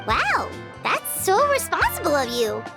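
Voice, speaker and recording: A tiny, sweet companion creature from a video game. The voice is extremely high, squeaky and childlike, bouncing with bubbly curiosity. Clear music sits behind it. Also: female voice, wildly swooping pitch, measured pace, exaggerated voice. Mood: curious, admiring. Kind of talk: scripted video game voice acting.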